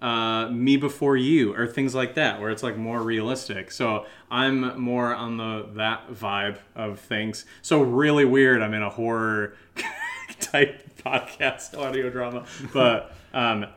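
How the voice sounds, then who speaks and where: A man's voice: medium pitch, very animated, takes it slowly, a bit bright. A man co-hosting a casual, unscripted podcast Q&A, rambling in a giggly, self-deprecating way. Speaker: podcast co-host and audio drama creator.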